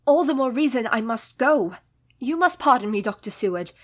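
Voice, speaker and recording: Casting: resolute young Victorian lady, earnest and composed, slightly high voice, radio drama. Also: expressive, normal speed. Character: poised, determined young married Victorian woman. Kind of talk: radio play acting.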